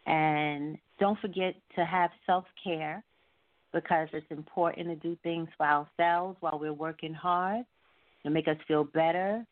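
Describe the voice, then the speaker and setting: A woman with a fairly low voice talks through a thin, phone-like connection with very little room sound. She is an interview guest, speaking casually and thoughtfully, pausing as she finds her words. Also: steady tone, no room sound. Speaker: guest, R&B singer. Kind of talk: casual radio interview, giving advice. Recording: tinny, phone-like narrow-band sound.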